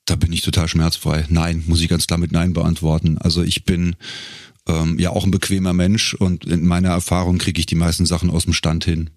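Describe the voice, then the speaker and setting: A man's voice: sits low, flat delivery, brisk, a little mellow, dry recording, studio quality. A middle-aged man talking casually and reflectively as an interview guest, feeling his way through phrases. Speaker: guest, professional voice actor.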